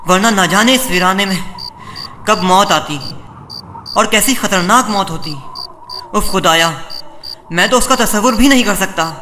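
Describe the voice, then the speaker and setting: Casting: male actor as an earnest, devout cartoon doctor, high-pitched and halting, dramatic exclamations, heard in long hall reverb. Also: sharp tone. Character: earnest, God-fearing male doctor in distress, thinking aloud. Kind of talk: dramatized Urdu cartoon voice acting. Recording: long hall reverb, faint background noise.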